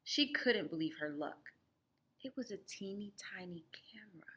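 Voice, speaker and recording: Animated woman reading a storybook aloud. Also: medium pitch, normal speed. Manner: animated, explanatory, guiding listeners through the story. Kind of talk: expressive storybook read-aloud with asides to children.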